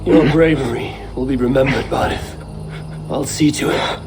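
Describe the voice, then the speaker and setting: A man playing a stoic, loyal Viking warrior in a cinematic video game, grave and measured. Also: mid-range voice, steady tone, natural voice. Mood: grieving, grave. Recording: music behind.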